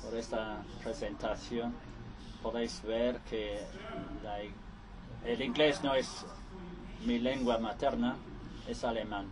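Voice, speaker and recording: A man interpreting conference speeches live, halting and restarting often. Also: mid-range voice, level tone, crowd noise, poor audio.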